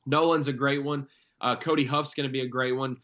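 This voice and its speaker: A man's voice: mid-range voice, fairly even intonation, fairly quick, a bit bright, dull audio. A young man talking casually as a guest, telling rambling stories. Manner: chatty, rambling storyteller. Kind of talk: casual chat.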